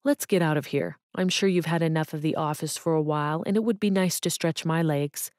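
Woman reading a kind, gentle businessman. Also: sits low, no echo, clean studio sound, natural voice. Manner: gentle, measured.

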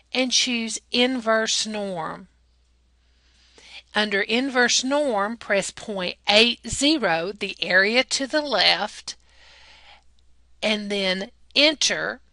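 A woman's voice: mid-range voice, pushed and bright, dry recording. A woman math lab technician explaining a statistics problem step by step in a patient, teacherly voice-over. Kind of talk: slow, deliberate classroom explanation over slides.

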